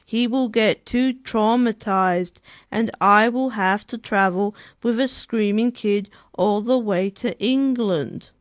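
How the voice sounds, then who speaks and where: A man's voice: high-pitched, takes it slowly, dry recording, clean studio sound, pitched above the performer's own voice. A man voicing a stern, protective male companion in a story, scolding as he speaks. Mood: stern, exasperated.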